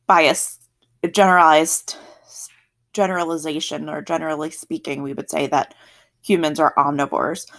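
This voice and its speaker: A woman with a South Jersey accent teaches a science lesson to her students. She talks in a friendly, chatty way, sitting a little low in pitch for a woman, and she catches and fixes her own slips as she goes. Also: fairly even intonation, moderate pace, a bit bright. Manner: friendly, chatty explaining with self-corrections. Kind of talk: recorded classroom lesson.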